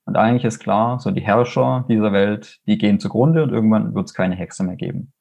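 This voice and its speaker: Gravelly low-voiced Swabian man. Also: fairly quick, a little mellow, highs cut off. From Swabia, Germany. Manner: enthusiastic, rambling, many fillers and restarts. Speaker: fantasy author, guest. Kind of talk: casual interview answers.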